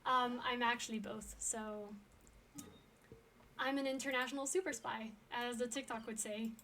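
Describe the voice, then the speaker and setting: Young french-american woman streaming, high voice, chatty and giggly. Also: fairly even intonation, normal speed, sharp tone, a little hiss or hum. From France. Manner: chatty, frequent ums and laughs. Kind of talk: casual chat with viewers.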